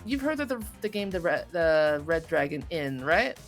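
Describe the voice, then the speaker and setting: A woman chats as a guest in a casual interview, speaking in a slightly low voice that swings widely in pitch. She is effusive and excitable, and music plays behind her. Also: moderate pace. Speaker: voice actor guest being interviewed.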